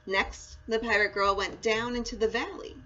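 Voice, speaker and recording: A woman reading a children's story aloud in an expressive, storytelling style. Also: on the high side, moderate pace. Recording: muffled audio with clear background noise.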